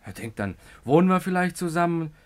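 A man playing a hopeful, understanding fisherman in a 1950s radio drama, with halting, conversational delivery. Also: a little high, animated, close to an everyday voice. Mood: coy, hopeful.

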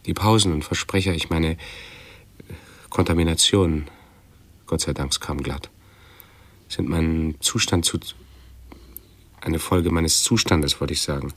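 An actor playing a fussy hypochondriac man in a radio play, who talks haltingly and pedantically, correcting himself. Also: very deep voice, no echo. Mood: pedantic, halting.

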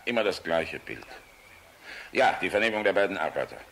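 An actor playing a weary, middle-aged air force general in a radio play, speaking in a measured, blunt but courteous way. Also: male voice, deep voice, big pitch swings, vocal fry, fairly bright tone, slight background noise, exaggerated voice. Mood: brisk.

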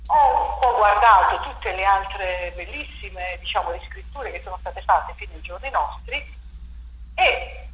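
A woman's voice: medium pitch, lively intonation, measured pace, fairly bright tone, dull audio. A woman theatre director talks through her work in an interview, reflective and searching for words. Her mid-range voice sounds roomy and echoey, with a faint hiss underneath. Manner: reflective, many fillers and restarts. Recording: echoey room with faint noise.

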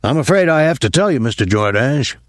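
A man voicing a nervous, apologetic older military academy colonel in an audiobook, speaking formally and haltingly with some embarrassment. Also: mid-range voice, animated, dry recording, studio quality, voice pushed up, lightly acted. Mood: uneasy, grave.

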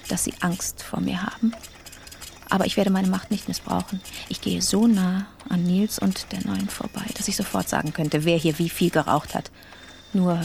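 An actress as a gravelly, proud, controlled boss. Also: female voice, mid-range voice, darkish tone, a little hiss or hum, close to an everyday voice. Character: proud, controlled female boss nursing a cold grudge. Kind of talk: radio drama interior monologue.

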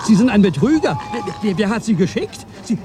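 A man's voice: high-pitched, very animated, fairly quick. An actor playing a nervous, desperate male comedian who stammers and repeats himself in a radio drama. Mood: harsh, quizzing. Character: desperate male comic impersonating a star, neurotic. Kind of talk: 1990s radio play acting.

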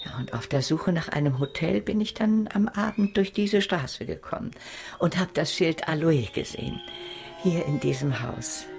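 A quirky old woman in a radio crime play, her voice full of creak. She is plain-spoken and sounds like someone who has been let down in love and tells it straight. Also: sits low, lively intonation, moderate pace, a little mellow, soft background music. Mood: matter-of-fact. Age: older. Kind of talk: German radio crime drama acting.

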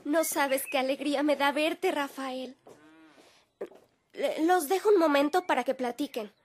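An actress playing an affectionate, welcoming sister with a Mexican accent, tender and gracious, in a radio drama. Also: female voice, high voice, a little slow. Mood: delighted, welcoming.

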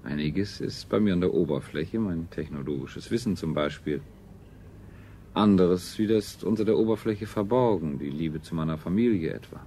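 Proud sober scientist in old radio play, deep mellow voice, stiff moralizing, faint noise. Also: male voice, moderate pace. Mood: sober, earnest. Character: proud, dutiful male technologist and family man. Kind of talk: 1970s German science fiction radio drama acting. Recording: faintly noisy 1970s radio recording.

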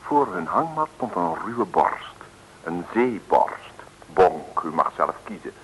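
A man's voice: low-pitched, very animated, slow, a bit bright, low-quality recording. A man narrating a vintage comic radio serial in a tongue-in-cheek, chatty way, with asides to listeners. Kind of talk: wry scripted radio narration, 1960s. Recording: hissy old radio recording.

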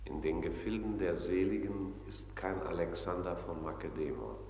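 An actor playing an eerie, ceremonial caller of the shadow realm in a radio drama, with drawn-out chanting calls. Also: male voice, low voice, a little mellow. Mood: summoning, detached. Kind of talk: theatrical radio drama acting. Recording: echoing long hall reverb, distant.

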